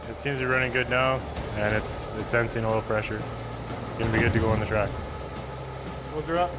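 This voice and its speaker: A man's voice: mid-range voice, brisk. A man working as a locomotive mechanic, talking casually on the job. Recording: loud music, engine noise behind.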